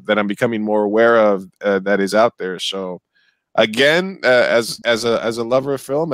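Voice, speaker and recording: A man's voice: on the low side, no echo, studio quality. A man in his thirties, from Colombia and Uruguay, hosting a festival Q&A over a headset mic on a video call, often repeating words as he searches for phrasing. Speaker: festival Q&A host. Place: home room with bookshelves.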